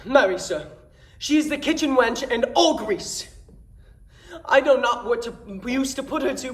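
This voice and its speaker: A man playing an exasperated, mocking Shakespearean manservant in an animated, declamatory comedy monologue. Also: extremely high pitch, voice pushed up. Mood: appalled, mocking. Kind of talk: theatrical classical comedy monologue.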